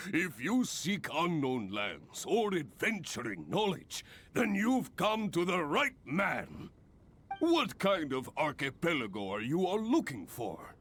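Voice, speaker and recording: A man's voice: on the high side, wildly swooping pitch, slow, a bit bright, breathy edge, heavily acted. A man playing a jovial, boastful seasoned adventurer and guild master in a video game, grandiose and theatrical. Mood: jovial, welcoming. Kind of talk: animated video game voice acting.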